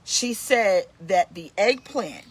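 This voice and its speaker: A woman's voice: medium pitch, expressive, slow, breathy edge, no room sound. A woman in her fifties hosting a casual motivational talk, emphatic and preachy, repeating her key points. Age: 50s. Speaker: host, pastor.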